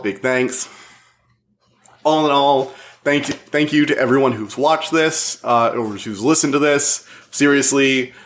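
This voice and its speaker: Slightly hoarse man reviewing a book. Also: mid-range voice, fairly bright tone. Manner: rambling, restarts thoughts, self-deprecating. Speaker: podcast host and book reviewer. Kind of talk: casual spoiler review monologue.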